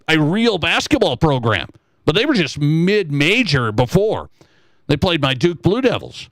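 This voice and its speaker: A man's voice: fairly high pitch, a bit bright, dry recording, low-quality recording. A man hosting a sports talk radio show, giving emphatic, opinionated commentary in a conversational way and repeating his points. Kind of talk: conversational sports commentary, reading listener texts.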